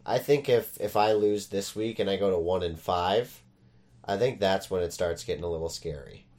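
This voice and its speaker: A man's voice: deep voice, moderate pace, darkish tone. A young man co-hosting a casual sports podcast, congested from a cold and joking around. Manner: jokey, playful. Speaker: podcast co-host. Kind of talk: casual chat.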